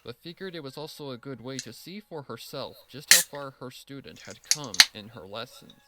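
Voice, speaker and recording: A man's voice: mid-range voice, expressive, moderate pace, heavy background noise. A man narrating a dramatic story from a script as the storyteller.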